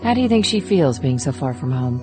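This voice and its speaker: A woman's voice: very deep voice, very animated, brisk, a little mellow, faint music behind. A woman performing an earnest, reflective female government operative in an audiobook thriller. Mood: somber, reflective. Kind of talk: dramatic audiobook dialogue.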